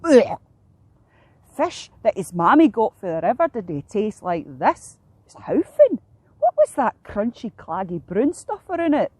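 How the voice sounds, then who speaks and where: A woman's voice: mid-range voice, huge pitch swings, measured pace, a little mellow, husky, no room sound, exaggerated voice. A woman voicing a sulky, fussy young male heron in a children's story, whingeing in a Scottish accent. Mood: disgusted. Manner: whingeing, huffy.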